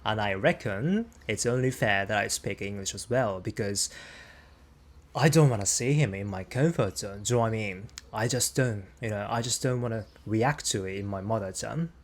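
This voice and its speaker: A man's voice: lowish voice, normal speed. Chatty Japanese man reacting in English. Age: 30s. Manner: chatty, British-style slang like mate and reckon. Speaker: reaction video host. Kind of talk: casual reaction commentary.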